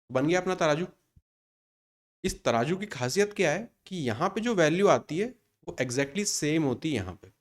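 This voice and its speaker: A man in his thirties teaching an exam-prep maths lesson in Hindi mixed with English terms. He explains step by step and answers his own questions. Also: a little high. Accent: Indian Hindi with English terms mixed in. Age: 30s.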